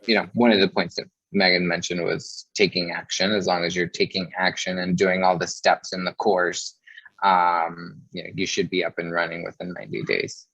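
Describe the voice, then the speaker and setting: A man explaining things casually as an interview guest, with a halting delivery, on a muffled, roomy recording. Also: low voice, fairly even intonation, creaky, fairly bright tone, slightly rough.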